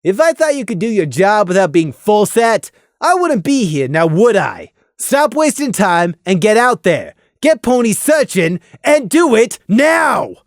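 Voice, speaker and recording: A man's voice: high-pitched, very animated, unhurried, hardly any pauses, no room sound, studio quality, voice pushed up. A man voicing a stern, brooding pony investigator who talks in a blunt, authoritative way. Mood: stern, commanding.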